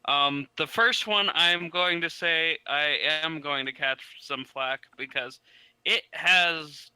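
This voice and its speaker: A man's voice: fairly high pitch, slow, sharp tone, clean tone, no echo, low-quality recording. A man talking casually as a panelist on a podcast. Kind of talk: casual chat.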